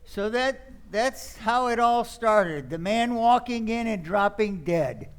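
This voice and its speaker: A man plays an aghast young nephew in a radio comedy. His voice is pitched quite high, and he stammers, repeats himself and sounds thoroughly exasperated. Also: animated, takes it slowly, smooth, heavily acted. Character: flustered, aghast young nephew, a theater critic. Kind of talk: old-time radio comedy acting.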